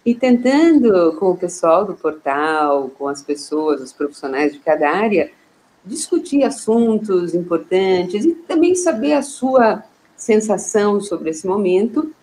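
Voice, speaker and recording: A woman, hosting a live online interview, chatting warmly and asking questions, often saying "né". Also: mid-range voice, animated, unhurried, low-quality recording. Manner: warm, conversational, with frequent "né". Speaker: host, portal director.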